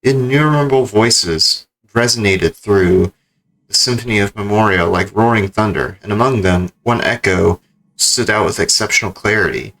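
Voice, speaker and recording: A man's voice: lowish voice, normal speed, a bit bright, slight background noise, rough recording. A man livestreaming a video game, commenting and reading the story text aloud.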